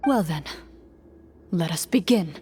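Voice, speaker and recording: A woman voicing a composed game master, narrating an adventure in a measured, formal, suspenseful way. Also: lowish voice, lively intonation, warm tone, a little hiss or hum.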